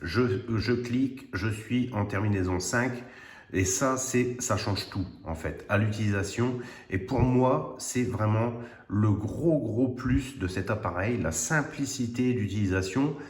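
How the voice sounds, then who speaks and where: A man's voice: on the low side, unhurried. A man informally demonstrating a product, earnest and a bit hesitant, repeating words for emphasis. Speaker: radio hobbyist presenter. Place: indoors at home radio station. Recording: roomy, echoing room sound.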